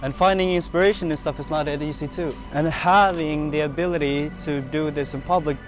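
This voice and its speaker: A man's voice: on the high side, steady tone, brisk. A man from Sweden speaking English with a Swedish accent, musing in a casual vlog with frequent restarts and filler words. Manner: hesitant, many restarts and filler likes. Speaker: vlogger. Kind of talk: reflective casual monologue. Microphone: built-in camera mic after external mic died. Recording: talk over clear background music.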